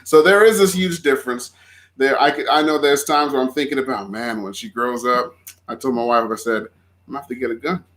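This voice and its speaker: A man in his thirties, a guest from Florida, talks in an easygoing, chatty way and laughs easily. His voice sits at an ordinary middle pitch. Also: a little fast, fairly bright tone, slight background noise. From Florida, USA. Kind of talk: casual conversation.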